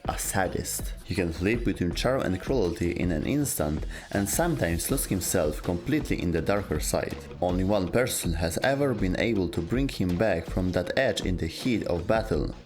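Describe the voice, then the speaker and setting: A man narrates a scripted video game lore explainer. His voice sits fairly low, and there is quiet music underneath.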